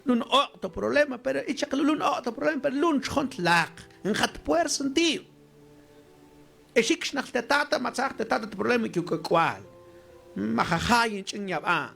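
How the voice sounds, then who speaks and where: A male preacher, a Mam speaker, delivers an exhorting scripture sermon at a slow pace. His pitch moves in lively swings as he addresses the congregation. Music plays behind his voice. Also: vocal fry. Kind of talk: sermon on scripture, mixing Mayan language and Spanish.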